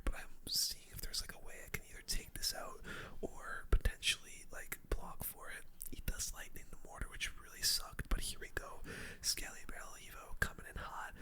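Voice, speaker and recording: A person whispering ASMR game commentary as host, in a breathy, unvoiced voice with casual gamer slang. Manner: casual gamer slang, addressing viewers as boys and guys. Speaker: gaming video host.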